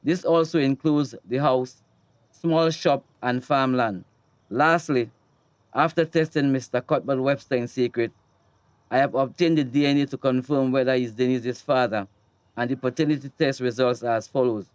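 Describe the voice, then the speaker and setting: An actor playing a calm, formal attorney in a radio drama, speaking. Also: male voice, medium pitch, clear, resonant tone, no echo, lightly acted. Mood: solemn. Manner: measured, formal. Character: Samson Straker, calm, formal male attorney.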